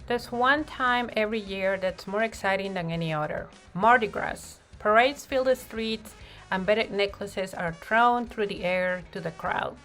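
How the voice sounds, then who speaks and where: A woman's voice: medium pitch, a little slow. Woman reading a storybook over music. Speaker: read-aloud narrator. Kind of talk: expressive picture-book storytelling read-aloud. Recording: music playing behind the voice.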